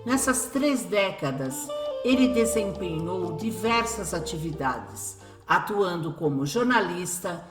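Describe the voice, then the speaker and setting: A woman's voice: mid-range voice, fairly bright tone, roomy sound. A woman with a Brazilian accent reading scripted narration for a radio drama.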